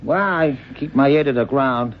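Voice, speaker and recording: An actor playing a streetwise, obliging underworld informant in an old radio crime drama, slangy, sly and deferential. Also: male voice, mid-range voice, moderate pace, a little hiss or hum, natural voice. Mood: sly, knowing. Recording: dull vintage radio drama recording.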